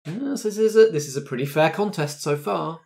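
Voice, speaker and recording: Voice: mid-range voice, expressive, fairly quick, creaky, a little mellow. Game master running a tabletop game.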